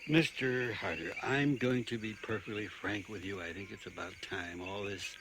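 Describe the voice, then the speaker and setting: Actor playing a jaded, low-voiced American tourist man. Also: normal speed, close to an everyday voice. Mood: sardonic, impatient. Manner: sardonic, wordy, needling. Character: jaded, skeptical American tourist on lion hunt. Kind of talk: radio drama acting. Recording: noisy 1980 radio drama recording. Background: night animal and insect ambience.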